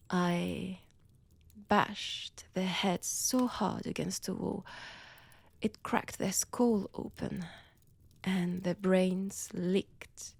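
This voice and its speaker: Woman playing a slow, whispering French-accented vampire seductress. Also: medium pitch, a little mellow, no room sound, full character voice. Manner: slow, taunting, theatrical, dropping often into breathy whispers. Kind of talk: intimate scripted roleplay audio drama, often whispered.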